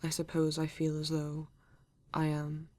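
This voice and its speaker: A woman with a British accent playing a formal, reserved male android detective in an ASMR roleplay, speaking stiffly and deliberately with halting pauses. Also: low voice, completely flat delivery, a little mellow. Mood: guarded, halting. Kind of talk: ASMR roleplay addressed to the listener.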